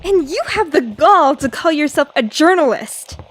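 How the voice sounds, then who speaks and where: A woman acts out a brash ace newspaperwoman in a pulp radio drama. Her voice is high, and her delivery is haughty and scornful. She speaks in cutting, superior tones. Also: lively intonation, normal speed.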